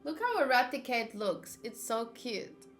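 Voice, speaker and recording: Female game streamer, fairly high voice, informal and chatty, music playing behind. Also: sing-song, a little slow, fairly bright tone. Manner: informal, chatty, reacting aloud. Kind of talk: casual livestream chat while gaming.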